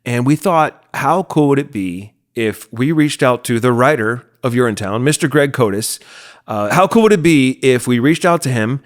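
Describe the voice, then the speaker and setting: A man's voice: medium pitch, expressive, normal speed. An American man chatting casually as he hosts and introduces a podcast. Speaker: podcast host and producer.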